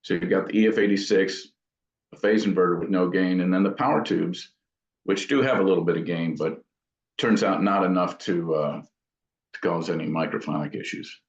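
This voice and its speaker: Man, lowish voice, casual interview talk, thoughtful and rambling, dull echoey recording. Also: moderate pace. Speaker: guitar amplifier builder being interviewed. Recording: dull, echoey room sound.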